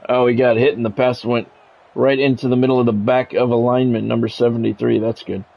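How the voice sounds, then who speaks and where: A man's voice: mid-range voice, flat delivery, a little fast, gravelly, lo-fi sound. An American man calling live football video game play-by-play in clipped announcer phrases, with casual asides. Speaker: play-by-play streamer.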